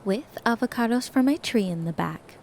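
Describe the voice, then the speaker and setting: Animated, high-voiced live-wire woman. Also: moderate pace, slight background noise. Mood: playful. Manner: playful, teasing, animated. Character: lively, teasing woman housemate, a live wire. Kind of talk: naturalistic audio drama acting.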